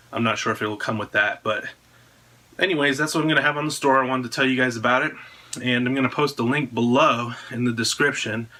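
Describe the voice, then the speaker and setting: A man's voice: medium pitch, bright, forceful tone. An American man in his thirties talking casually to camera, presenting a product announcement in an indoor room at home. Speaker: drone frame designer presenting own video.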